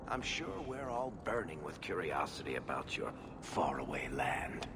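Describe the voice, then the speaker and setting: A man's voice: a bass voice, sing-song, a little slow, heavy background noise, heavily acted. An actor playing a wry, teasing, roguish male dwarf in a video game.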